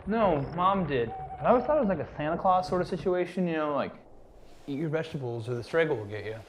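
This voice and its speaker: A man's voice: a little high, animated, quick pace, a little hiss or hum, close to an everyday voice, poor audio. A man acting a skeptical, defensive big brother in naturalistic drama. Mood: explaining. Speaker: actor.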